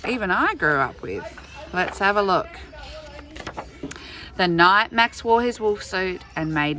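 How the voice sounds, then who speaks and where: Bright-voiced woman reading a picture book, unhurried. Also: lowish voice. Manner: expressive, exclaiming over pictures, drawn-out key phrases. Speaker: storyteller reading a picture book aloud to young children. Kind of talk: animated read-aloud with asides to young listeners.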